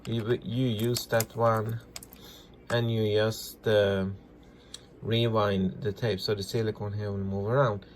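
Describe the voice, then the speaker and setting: A man presenting a casual hands-on repair tutorial, talking through each step in halting, simple non-native English. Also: on the low side, level tone, a little hiss or hum. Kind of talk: casual hands-on demonstration, narrating as they work.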